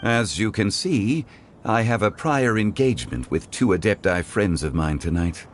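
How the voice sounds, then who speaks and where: An actor playing a calm, scholarly gentleman consultant in a video game, speaking in formal, measured, courtly phrases. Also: on the low side, a little hiss or hum, natural voice. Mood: courteous. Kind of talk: scripted video game character dialogue.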